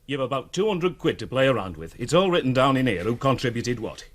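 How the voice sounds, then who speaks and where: A man's voice: mid-range voice, sing-song, quick pace. A man with a Northern English accent acting an eager, cajoling working-class betting organiser in a radio drama. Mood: breezy, encouraging.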